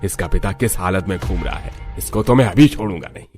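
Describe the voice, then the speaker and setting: A man's voice: low-pitched, lively intonation, fast, darkish tone. A man puts on a greedy old schemer of a father from a moral tale. He sounds sly and grumbling, with light music underneath. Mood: resentful.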